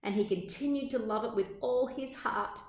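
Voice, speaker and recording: A woman reading a children's storybook aloud like a teacher, with measured, expressive, dramatic phrasing. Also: fairly high pitch. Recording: echoey room sound.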